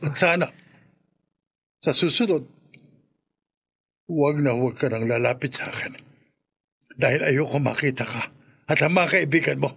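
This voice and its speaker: Gravelly middle-aged father, husky, bitter, grieving, stern accusatory radio drama delivery. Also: a little high, normal speed, long pauses, fairly bright tone, exaggerated voice. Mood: cold anger. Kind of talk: Filipino radio drama acting.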